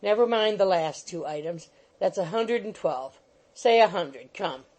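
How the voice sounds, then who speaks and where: A woman's voice: fairly low pitch, animated, measured pace, a little mellow, low-quality recording. A woman voicing a fussy, bustling little male attorney who coaxes and keeps saying "my dear sir". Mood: wheedling. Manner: coaxing, repeats "my dear sir".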